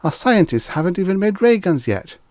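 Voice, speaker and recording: A man voicing an excited, eager small boy with a piping treble and boastful bravado, as in an audiobook story. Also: sits high, fairly quick, no echo, pitched above the performer's own voice. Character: excited, eager small boy, earnest space-adventure fan. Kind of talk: audiobook character voice.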